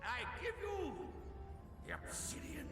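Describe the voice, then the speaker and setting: A man playing a crotchety, knowing old islander in a video game, with a creaky voice and a theatrical, folksy storytelling manner. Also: very high voice, wildly swooping pitch, slow, music in the background, full character voice. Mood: grand. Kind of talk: video game cutscene acting.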